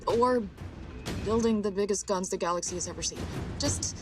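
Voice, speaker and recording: A woman's voice: mid-range voice, moderate pace, lightly acted. A woman playing a clever, enthusiastic science adviser in a video game, articulate and earnest as she explains things. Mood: earnest pleading. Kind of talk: video game cutscene acting. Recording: music behind the voice. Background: music.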